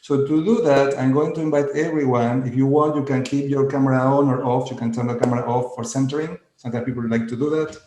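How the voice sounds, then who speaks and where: Brief: man, coach on a video call, slow gentle guidance, roomy sound. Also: mid-range voice, steady tone. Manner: slow, gentle, inviting instructions. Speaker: coach and conference presenter. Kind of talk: guided centering exercise.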